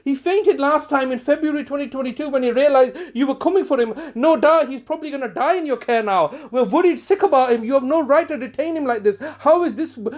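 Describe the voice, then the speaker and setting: Indignant man ranting into voicemail. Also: extremely high pitch. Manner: indignant, accusatory, halting with many fillers. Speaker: caller leaving a daily voicemail. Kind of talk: angry one-sided phone message.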